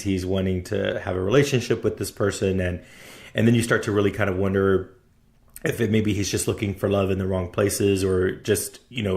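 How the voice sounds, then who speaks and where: A man hosting an interview, talking in a halting way with many fillers. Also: low voice, gravelly, a little mellow. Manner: halting, many ums and you-knows. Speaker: interview host. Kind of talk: casual interview talk.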